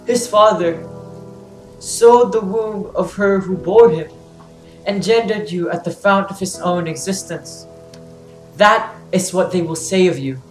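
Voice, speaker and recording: Actor doing a broken blind king and dad, mournful slow pleading, roomy echo, greek tragedy style. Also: medium pitch, lightly acted. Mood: grieving, pleading. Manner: mournful, pleading, solemn declamation. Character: blinded, grieving fallen king and father, pleading. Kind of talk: classical tragedy dramatic reading. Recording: roomy echo, faint music behind.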